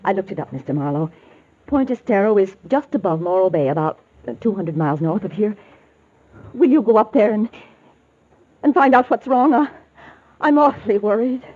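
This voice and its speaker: A woman's voice: medium pitch, lively intonation, normal speed, darkish tone, natural voice. Woman playing an anxious radio-drama client, muffled sound. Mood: worried pleading. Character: anxious, earnest female client pleading for help. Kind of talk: 1940s radio acting. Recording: muffled, narrowband old radio recording.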